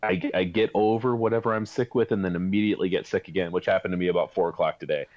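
A man's voice: low voice, steady tone, fast, highs cut off. A man co-hosting a casual podcast while sick, coughing and losing his voice, talking in a laid-back, hesitant way. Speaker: podcast co-host. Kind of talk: casual chat.

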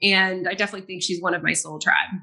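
Bright-voiced woman sharing her story. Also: fairly low pitch, fairly even intonation, quick pace, creaky. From France. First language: French. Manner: earnest and reflective, laughs often. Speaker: guest, psychic. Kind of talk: casual interview conversation.

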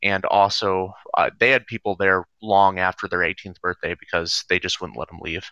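A young man in his twenties who was a former student, telling his story haltingly with many fillers over a dull, lo-fi call line. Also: sits low, flat delivery, bright, forceful tone, smooth, no echo. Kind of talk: casual personal account.

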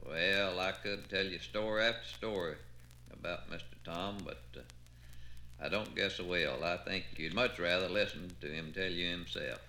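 A man narrating folksy spoken-word tales with unhurried asides. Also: sits low, pushed and bright, a little hiss or hum. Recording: roomy echo, music behind.

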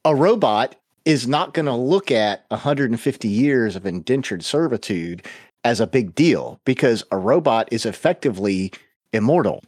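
Lively man bantering in a roomy space. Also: mid-range voice, normal speed, breathy edge, clean studio sound. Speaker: tabletop game player. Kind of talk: casual banter. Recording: roomy echo on the voice.

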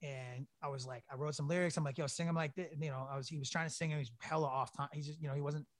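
A man's voice: on the high side, fairly even intonation, racing, no echo. A young man, a band singer, chatting casually as a guest, talking loosely with lots of swearing, fillers and stumbling restarts. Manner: laid-back, profane, rambling with restarts. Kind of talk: casual interview chat.

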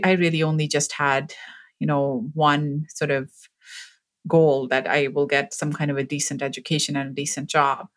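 Woman in her 40s, very low pitch, earnest, measured, pauses with fillers, interview guest. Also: a bit bright. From Pakistan, raised in Abu Dhabi. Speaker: guest, author and medical student. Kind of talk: interview storytelling.

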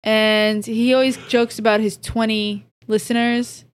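A woman's voice: on the high side, flat delivery, unhurried, clean tone. Teasing Florida woman on a podcast. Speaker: podcast co-host. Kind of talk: casual chat.

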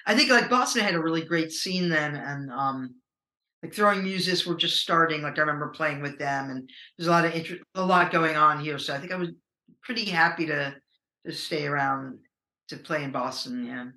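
A middle-aged American woman with a low voice, a musician talking casually in an interview, rambling and hesitant with many fillers. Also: moderate pace, bright, forceful tone, roomy sound, rough recording. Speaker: musician guest.

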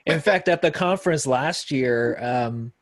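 Male guest in his thirties from South Korea, easygoing and quick to joke, dry sound. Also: mid-range voice, no room sound. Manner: joking, laughs easily, conversational. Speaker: pastor and apologist. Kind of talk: casual interview guest.

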